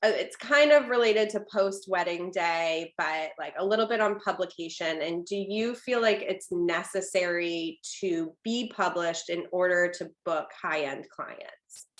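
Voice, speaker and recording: A woman wedding planner hosting a webinar, chatty and agreeable in casual conversation. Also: on the low side. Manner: chatty, agreeable, quick to laugh along. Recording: echoey room.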